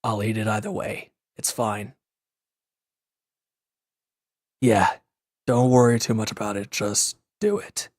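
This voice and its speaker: A man acting a gruff, guarded former villain in a roleplay audio drama, curt and grumbling, reluctant to lean on anyone. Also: on the low side, steady tone, moderate pace, darkish tone, no room sound, studio quality, lightly acted. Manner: curt, grumbling, defensive, with sighs and hesitant breaks. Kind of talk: scripted roleplay audio drama addressed to the listener.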